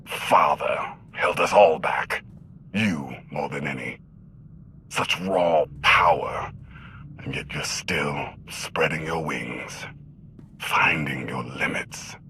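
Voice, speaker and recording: An actor playing a power-hungry galactic tyrant in a video game, speaking with menacing, imperious drama. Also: male voice, rumbling low, slow pace, slight background noise, exaggerated voice. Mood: resentful. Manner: menacing, imperious, swelling from hushed to forceful.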